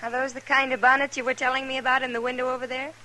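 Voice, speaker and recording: Bright, proper young frontier lady. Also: sits high, flat delivery, racing, low-quality recording. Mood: eager. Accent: American. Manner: proper, demure. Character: polite, earnest young newcomer lady in a frontier town. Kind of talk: old-time radio western acting. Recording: hissy old-time radio recording.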